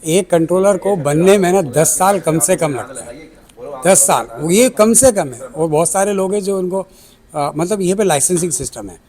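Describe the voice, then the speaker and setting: A man's voice: high voice, lively intonation, moderate pace, a little mellow. A man with a Pakistani accent answering interview questions as an aviation expert, explaining step by step and mixing in English technical terms. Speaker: air traffic control chief, aviation expert. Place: air traffic control centre.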